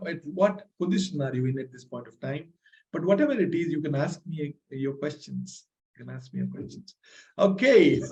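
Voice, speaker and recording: Energetic male trainer, fairly high pitched, motivational, with a slightly strained voice. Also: poor audio. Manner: energetic and motivational, tags sentences with "right?". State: voice strained from talking loudly. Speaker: leadership trainer hosting a masterclass. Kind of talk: live online masterclass with audience questions.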